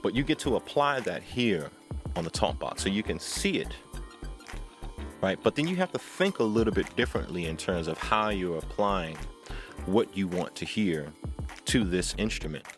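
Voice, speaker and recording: A man with a fairly low, very lively voice gives informal instruction as a tutorial host. He phrases things deliberately and with emphasis, often tagging on short rhetorical questions. Music plays behind his voice. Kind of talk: informal instructional talk to camera.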